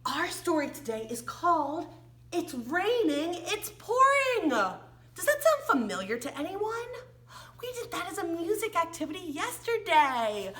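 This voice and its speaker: A woman's voice: extremely high pitch, bright, forceful tone. An American woman leading an interactive read-along and sing-along for young children, with playful, exaggerated delivery, gasps and questions.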